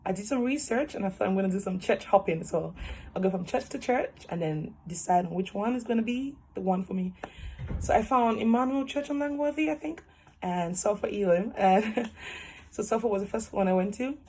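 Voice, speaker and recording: A woman's voice: mid-range voice, brisk, poor audio. Cheerful woman in her 20s, laughing storyteller, webcam call from home, slight room hiss. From Cameroon. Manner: cheerful, laughs often, animated hands. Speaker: interviewee, student nurse. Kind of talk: casual personal story. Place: small room at home, indoors. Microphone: webcam on a video call.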